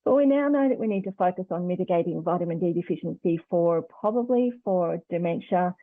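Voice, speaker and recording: A woman's voice: on the low side, lively intonation, brisk, dry recording. A woman with an Australian accent, explaining conversationally as an expert guest on a radio phone-in, over a muffled phone-like line.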